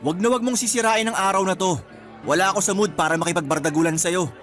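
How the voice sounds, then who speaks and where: A man's voice: high-pitched, quick pace, fairly bright tone. A man playing an arrogant graduate in his twenties, a scornful, taunting younger brother with a Filipino accent in a radio drama. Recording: music bed under the voice.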